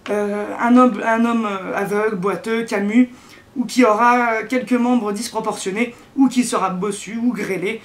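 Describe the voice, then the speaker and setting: Sarcastic man doing a mocking monologue. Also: sits high. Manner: sarcastic, mocking, halting delivery. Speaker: satirical comedy host. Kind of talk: satirical comedy monologue.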